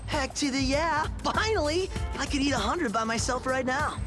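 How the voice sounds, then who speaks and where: A man voicing a goofy, excitable teenage mutant turtle with an exuberant surfer-slang delivery in a cartoonish video game, music behind him. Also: extremely high pitch, normal speed. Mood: playful.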